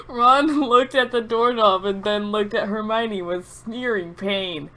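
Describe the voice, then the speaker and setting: A woman's voice: fairly high pitch, lively intonation, takes it slowly, bright, forceful tone. Woman doing a dramatic read of silly fanfic, tries to stay serious but keeps cracking up. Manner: mock-serious storytelling, often breaking into giggles. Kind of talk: dramatic reading of absurd fan fiction.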